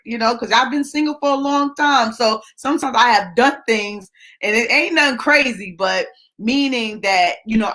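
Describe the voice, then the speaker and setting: A woman's voice: medium pitch, a bit bright. A woman in her forties hosting a live show, confiding a personal story in a chatty way, often asking "right?". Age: 40s. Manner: chatty, confiding, tag questions. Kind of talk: casual personal talk to viewers.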